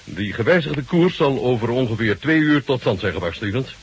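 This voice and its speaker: Radio drama marshal: shrewd Soviet old soldier, very husky, mid-pitched, genially bantering and courteous. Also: male voice, animated, normal speed. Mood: calm, explaining.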